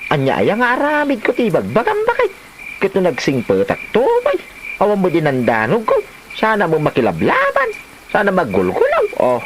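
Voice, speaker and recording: A man's voice: high voice, wildly swooping pitch, normal speed, background noise. A man playing a stubborn, joking, blustering husband in a comedy audio drama. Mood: defiant. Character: stubborn, joking husband who raids grave offerings. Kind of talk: comedy radio-style drama acting.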